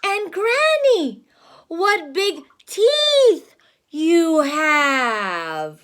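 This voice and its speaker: Woman as a high-voiced, wide-eyed storybook little girl. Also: sing-song, very slow, a bit bright, heavily acted. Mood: distressed wonder. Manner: wondering, exclaiming, stretched-out words.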